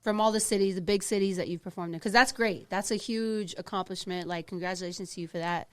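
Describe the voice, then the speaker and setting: A woman's voice: mid-range voice, fairly even intonation, quick pace, smooth, no echo. A woman born in the Philippines hosting a radio talk show, laughing often and talking over others in a studio. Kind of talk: casual chat.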